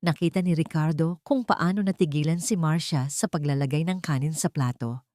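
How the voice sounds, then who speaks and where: A woman's voice: sits low, fairly quick, a little mellow, no echo, studio quality. A woman with a Filipino accent reading a scripted dramatic story as its narrator.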